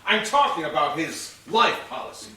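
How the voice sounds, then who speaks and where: A distant, very echoey theatre recording of a man acting a veteran police inspector in a stage comedy. His voice is high for a man and bright, with a stern, formal, exasperated manner. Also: sing-song, normal speed, heavily acted. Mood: dry, probing.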